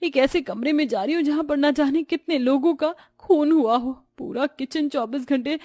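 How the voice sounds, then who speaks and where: A woman's voice: high-pitched, moderate pace, mellow, no room sound, clean studio sound, natural voice. A woman voicing a distressed, tender-hearted young Indian daughter-in-law, plaintive and lamenting, in a cartoon story. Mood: despairing.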